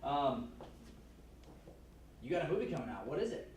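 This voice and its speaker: A man's voice: fairly high pitch, very animated, normal speed. Enthusiastic man interviewing in an echoey hall. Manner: enthusiastic, showman-like, calling people over loudly. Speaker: interviewer at a kids' film camp. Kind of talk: upbeat on-camera interviews. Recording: echoey room, far from mic, noisy.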